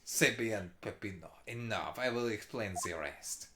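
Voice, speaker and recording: A woman's voice: extremely low pitch, unhurried, noisy background. A woman playing a pompous, scheming French nobleman with a loose put-on French accent. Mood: grand, composed. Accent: put-on French, drifting towards Italian. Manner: grand, self-important.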